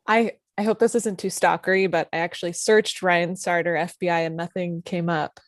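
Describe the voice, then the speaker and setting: A woman's voice: fairly low pitch, steady tone, moderate pace, dry recording, clean studio sound, natural voice. A woman playing a polite, skeptical TV executive in her mid-thirties, dryly, in a comedy table read. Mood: amused, curious. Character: polite, skeptical 35-year-old female TV executive.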